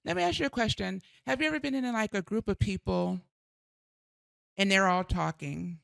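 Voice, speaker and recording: A woman's voice: mid-range voice, normal speed, vocal fry, dry recording, studio quality. A middle-aged woman chatting with her mother, thoughtful and rambling, a little self-deprecating. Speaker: daughter and co-host. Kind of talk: casual chat.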